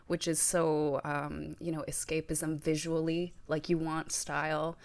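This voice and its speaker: A young woman with a slightly low voice chats through a movie on a podcast, full of ums and restarts. She talks into a handheld mic in a living-room setup. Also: flat delivery, unhurried. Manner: chatty, many fillers and restarts, laughs often. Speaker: podcast co-host. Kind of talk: casual film chat. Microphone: handheld dynamic mic with headphones.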